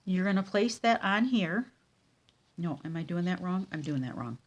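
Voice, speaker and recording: A woman with a cold and a nasal, congested voice, teaching a casual card-making tutorial at her craft table, with many ums and pauses. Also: on the low side, lively intonation, muffled recording, a little hiss or hum.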